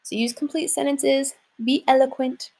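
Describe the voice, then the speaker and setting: A woman's voice: high-pitched, flat delivery, moderate pace, vocal fry, darkish tone. Chatty woman teacher explaining an assignment. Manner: casual, chatty, many "like" and "um" fillers. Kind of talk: classroom lesson walkthrough.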